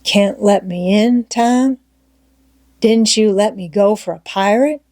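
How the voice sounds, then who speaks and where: Storybook role: rough young vagabond boy, played by a woman, grumbling rustic dialect, stubborn, dry close sound. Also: mid-range voice, slow pace, darkish tone, dry recording, natural voice. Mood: pleading. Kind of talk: storybook character voice in audiobook reading.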